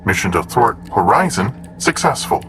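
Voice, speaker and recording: A low male voice with lively intonation, as a precise, unflappable android assistant in an audio drama. The delivery is formal and measured, with clear noise and typing behind it. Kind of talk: audio drama acting, report reading.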